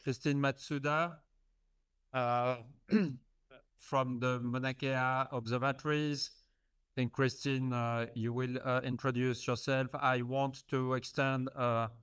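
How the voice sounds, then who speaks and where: A man introducing the next speaker at a conference, halting with many uhs. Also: mid-range voice, level tone, fairly bright tone, clear, resonant tone, no echo. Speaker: session host.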